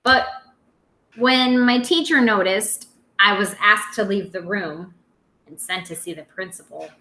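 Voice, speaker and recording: A woman teacher reading aloud to young children in an expressive, playful, dramatic way. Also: medium pitch, measured pace, echoey room, low-quality recording.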